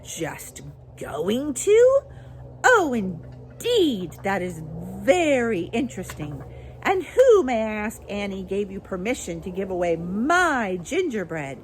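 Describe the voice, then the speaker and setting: Shrill, tiny ancient shopkeeper woman. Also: very animated, unhurried, a little hiss or hum. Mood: menacing. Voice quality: shrill, squeaky, little voice. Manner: chattering, exclamatory, swinging from sweet to fierce.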